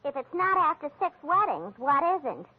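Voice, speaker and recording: A woman's voice: sits high, very animated, normal speed. An actress playing a scatterbrained, chatty secretary who muddles her words, in 1940s radio comedy style. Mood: blithe, chatty. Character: daffy, chatty female stand-in secretary. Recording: thin phone-like old radio recording.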